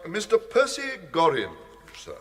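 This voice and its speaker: An actor playing a deferential, unflappable English gentleman's valet, formal, measured and politely understated, in a British radio comedy. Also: a little high, huge pitch swings. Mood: formal, composed. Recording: long echoing room reverb.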